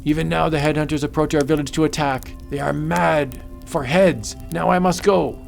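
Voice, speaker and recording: A man's voice: fairly high pitch, steady tone, moderate pace, creaky, soft background music, pitched above the performer's own voice. A man voicing the urgent, mournful spirit of a captive tribesman, portentous, in a comic book audiobook. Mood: urgent warning.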